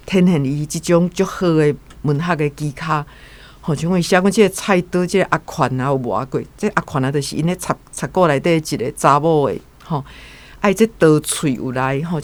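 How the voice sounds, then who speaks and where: A man with a Taiwanese accent giving casual commentary on a story as a guest. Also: on the high side.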